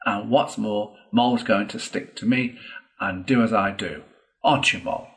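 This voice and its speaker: A man voicing a stubborn, sceptical water rat in a storybook, speaking in a slow, dry, firm way. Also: fairly low pitch, close to an everyday voice.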